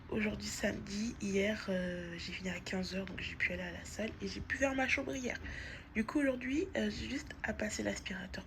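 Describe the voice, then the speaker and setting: A woman's voice: mid-range voice, creaky. A woman vlogging at home on her phone, chatting casually with a stuffy nose from a cold and lots of fillers and restarts. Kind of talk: casual diary vlog. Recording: noticeable background noise.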